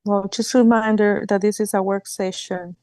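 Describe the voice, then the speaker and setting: Hoarse mayor with a mellow voice. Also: female voice, mid-range voice, steady tone, no room sound, studio quality. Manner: halting, with fillers and restarts. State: sore throat. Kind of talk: chairing a council meeting. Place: home, on a video call. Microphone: video call.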